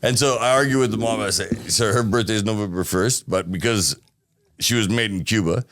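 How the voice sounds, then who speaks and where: A man's voice: fairly low pitch, fairly quick, fairly bright tone. A man hosting a casual interview, nodding along with frequent agreement. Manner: frequent agreeing backchannels, laughs easily. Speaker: podcast host.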